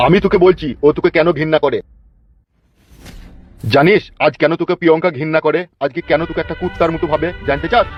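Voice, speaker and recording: A man's voice: fairly high pitch, steady tone, close to an everyday voice. A man voicing a bossy, furious older man in a comedy cartoon, scolding and cursing. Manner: scolding, cursing, rapid-fire. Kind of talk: Bengali comedy cartoon dub. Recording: muffled lo-fi sound, music behind.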